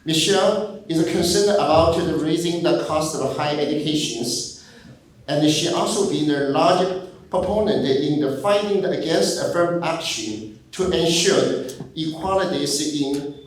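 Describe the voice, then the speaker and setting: Male emcee, rather high for a man, halting read-out introduction, distant from the mic in a very echoey hall. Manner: halting, repeats words while reading. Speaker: event emcee. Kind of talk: reading a formal introduction.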